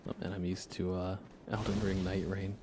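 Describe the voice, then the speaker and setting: A man doing relaxed ASMR game commentary, casually rambling and thinking aloud as he plays. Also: sits low, normal speed, over music. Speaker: livestreamer.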